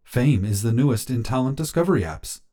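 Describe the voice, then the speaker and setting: A man's voice: mid-range voice, lively intonation, fairly quick, warm tone, close to an everyday voice. A man voicing a polite female phone AI assistant in a story, scripted and helpful. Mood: informative.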